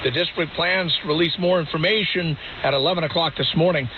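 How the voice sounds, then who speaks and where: High-voiced male field reporter, noisy radio. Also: fairly quick. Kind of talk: live news report. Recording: muffled hissy radio relay.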